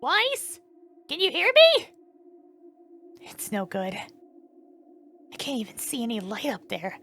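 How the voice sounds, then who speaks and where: A woman voicing a curious, plucky, informal teenage girl in an anime fandub, casual and expressive. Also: sits high, takes it slowly, heavily acted. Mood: alarmed, then puzzled, wry. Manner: casual, expressive, talks to herself.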